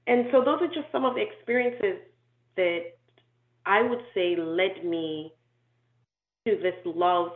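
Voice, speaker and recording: A woman who grew up in Nigeria, talking through her life story in an interview, thoughtful and measured, with frequent ums and restarts. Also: lowish voice. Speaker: inclusion and diversity consultant, guest. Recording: tinny echoey phone-like call audio.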